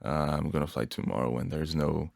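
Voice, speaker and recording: A young Bulgarian man with an extremely low voice and a gravelly fry. He talks in an easy, relaxed way, laughs often, and restarts his phrases. The recording is close and dry. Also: brisk, dry recording. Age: young adult. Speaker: guest. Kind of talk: casual podcast chat.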